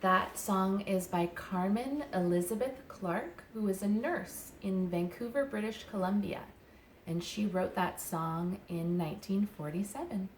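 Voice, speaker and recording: A woman who teaches young children, chatting and reading aloud gently in simple, unhurried phrases with little explanations. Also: medium pitch, a little hiss or hum, low-quality recording. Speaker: childcare educator leading story and song time for toddlers.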